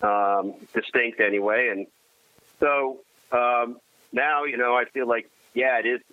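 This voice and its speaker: A man's voice: mid-range voice, steady tone. Man on a tinny phone line. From United States. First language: Armenian. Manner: halting, many restarts, self-deprecating humor. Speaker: guest. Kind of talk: casual conversation.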